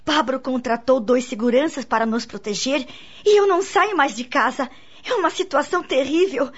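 A woman's voice: sits high, normal speed, husky. An actress playing a troubled, emotional woman confiding in a friend in a radio drama, with a Brazilian accent. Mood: anxious, distressed. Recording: dull, lo-fi radio drama recording.

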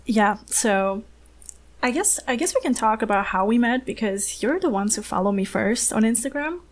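A woman's voice: fairly high pitch, animated, moderate pace. A young woman whose first language is Romanian, congested with a cold, chatting as a podcast guest over a remote call in careful, friendly English. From Eastern Europe. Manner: friendly, apologetic, careful non-native English. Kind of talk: casual chat.

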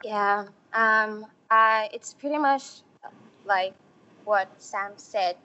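A young woman from Somalia, a refugee youth program organizer, answering interview questions slowly with many fillers. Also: medium pitch, bright, forceful tone. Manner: slow, halting, many fillers. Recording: muffled lo-fi audio.

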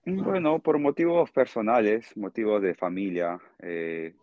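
A man with a Peruvian accent answering interview questions conversationally as a guest, explaining in a halting way and often checking with a short tag question. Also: lowish voice, no echo, narrow, tinny audio. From Peru. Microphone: remote call.

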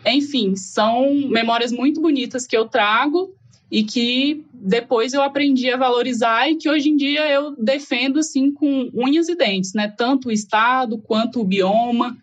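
Woman with a Goiás accent, somewhat high voice, relaxed storytelling, lo-fi sound. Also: normal speed. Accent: Goiás Brazilian Portuguese. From Goiás, Brazil. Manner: reflective, storytelling, frequent fillers. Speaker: guest. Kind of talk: casual conversation. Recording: dull lo-fi audio.

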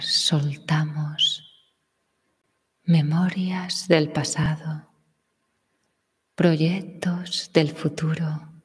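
A woman leads a meditation in a hushed, breathy half-whisper. She speaks very slowly in a low register and leaves long gaps between phrases. Her voice trails off into a long, lingering reverb. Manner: soft breathy whisper, slow pauses. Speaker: meditation guide. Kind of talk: guided meditation.

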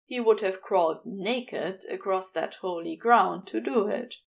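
A woman narrating a scripted story as an audiobook reader, in a measured delivery. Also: mid-range voice, creaky, breathy edge. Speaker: audiobook reader of fan fiction.

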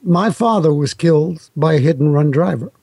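A man from New York who grew up speaking Italian, hosting a relaxed interview. His voice sits high and a bit husky, recorded dry and close. He chats in a friendly way, full of fillers. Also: normal speed, dry recording. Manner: chatty, friendly, filler-heavy. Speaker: interview host. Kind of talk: casual interview chat.

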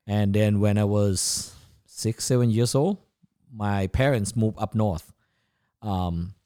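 Low-voiced middle-aged man with a Thai accent. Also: slow pace, mellow. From Thailand. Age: about 50. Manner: simplified non-native grammar. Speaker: podcast guest, chef. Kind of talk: casual autobiographical chat.

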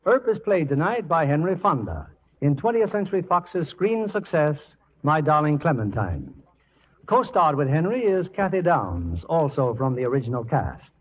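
A man hosting and narrating a 1940s radio theatre in a formal, theatrical scripted style. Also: on the high side. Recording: muffled 1940s radio broadcast.

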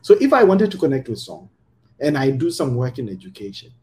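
A man hosting a casual podcast conversation, emphatic and animated, often checking in with "right?". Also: mid-range voice, a little fast. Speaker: podcast host.

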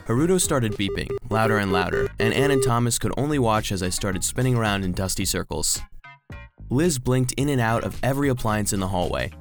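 A man playing an earnest, anxious robot vacuum cleaner who narrates a children's audio drama in an expressive storytelling manner. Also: fairly low pitch, brisk, music in the background. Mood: anxious, rueful.

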